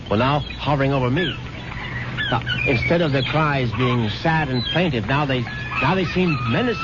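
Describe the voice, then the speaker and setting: A man's voice: lowish voice, heavy background noise. An actor playing a nervous, superstitious man who narrates his own story and often stammers. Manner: stammering, anxious. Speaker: first-person narrator. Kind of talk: 1970s radio drama acting. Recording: dull old radio recording, loud music.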